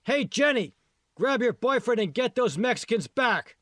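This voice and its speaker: A man's voice: very high-pitched, lively intonation, normal speed, fairly bright tone, dry recording, pitched above the performer's own voice. A man voicing a bossy, irritable foreman in an audiobook, coarse and impatient, haranguing his crew. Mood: angry, shouting. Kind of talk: audiobook character dialogue.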